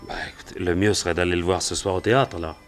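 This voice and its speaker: A nervous, plain-spoken male witness in a radio crime drama. His voice is deep, and he talks colloquially with many hesitations. Music plays faintly behind him. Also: steady tone, fast. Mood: hesitant. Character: Burns, anxious plain-spoken working man, a murder witness.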